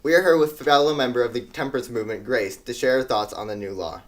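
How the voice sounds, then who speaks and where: A man's voice: mid-range voice, quick pace, natural voice. A man playing an earnest 1920s news anchor, reading a scripted report in a formal announcer manner.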